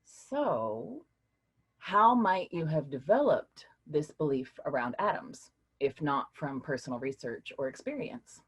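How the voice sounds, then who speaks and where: A woman's voice: low voice, a little slow, breathy edge, full character voice. An actress playing a patient woman philosophy professor leading a class discussion in a staged play reading. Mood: teacherly. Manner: lively, questioning, teacherly.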